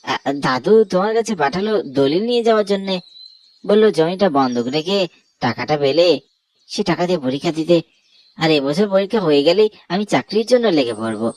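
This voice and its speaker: A man's voice: fairly high pitch, expressive, fairly quick, a little mellow, no room sound, close to an everyday voice. A man voicing a wronged young man in a Bengali horror cartoon, insistent and aggrieved in manner. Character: wronged young man, murdered, now a vengeful restless pond ghost.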